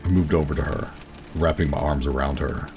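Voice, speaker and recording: A man playing a very deep-voiced, weary operative. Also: fairly even intonation, a little fast. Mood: somber, reflective. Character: weary, guarded male government operative narrating his own ordeal. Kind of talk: sci-fi horror audio drama narration and dialogue. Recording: music under the voice. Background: music.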